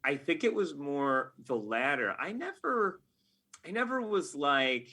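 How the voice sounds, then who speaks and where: A middle-aged man talking casually as an interview guest, often halting and rephrasing himself as he goes. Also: on the high side, very animated, sharp tone. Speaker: podcast guest, game writer. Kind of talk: casual interview talk, reflective anecdotes.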